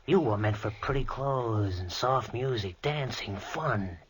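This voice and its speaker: A man's voice: medium pitch, lively intonation, a little slow, slight background noise, natural voice. An actor in a 1940s radio drama playing a brash, slangy drifter posing as a handyman, pushy and cocky. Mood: pressing.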